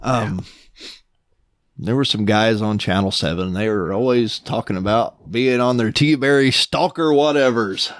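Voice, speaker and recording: A man's voice: on the low side, a little slow, no room sound, studio quality. A middle-aged man with a folksy, laid-back way of talking, chatting casually as a show host. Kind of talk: casual chat while unboxing vintage electronics. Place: small studio room full of audio gear. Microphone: microphone on a stand.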